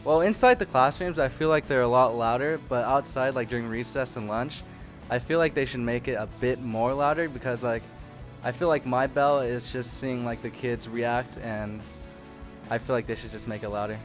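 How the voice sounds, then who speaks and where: Hesitant teenage male student, medium pitch. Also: normal speed, faint music behind. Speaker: student interviewee. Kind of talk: casual vox pop answer.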